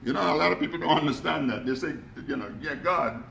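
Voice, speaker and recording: Gravelly man giving a motivational talk. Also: a little high, fast, roomy sound, slight background noise. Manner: energetic, asks the audience questions, calls out. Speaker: motivational speaker. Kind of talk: live talk to a small audience. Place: echoey room with an audience.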